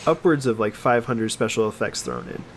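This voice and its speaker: A man's voice: on the low side, huge pitch swings, fairly quick. A man in casual, opinionated chat as a podcast co-host, explaining his points in qualified fragments. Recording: noticeable background noise.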